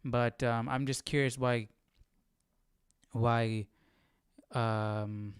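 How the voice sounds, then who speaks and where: A man's voice: mid-range voice, steady tone, very slow, clear, resonant tone, no echo, clean studio sound. A man hosting a casual live Bible study, thinking aloud and questioning, often checking with "right?".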